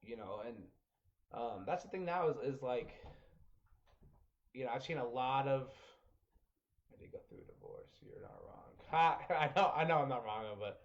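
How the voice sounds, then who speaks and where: A man in his twenties in casual chat, talking in a halting, reflective, self-deprecating way. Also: mid-range voice, huge pitch swings, vocal fry. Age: 20s. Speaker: podcast host, former wrestler. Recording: dull lo-fi sound.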